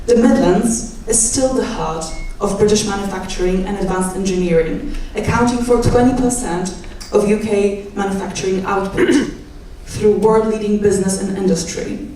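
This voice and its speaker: A young woman with a Polish accent speaks in a measured, wry way, her voice caught from a distance in a very echoey hall. Her pitch sits in a mid range. A faint hiss lies underneath. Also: dark tone. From Poland. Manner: measured, wry, self-deprecating asides. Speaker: guest speaker, doctoral student. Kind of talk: prepared rally speech. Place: large indoor hall at a rally. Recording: echoey hall, mic from afar.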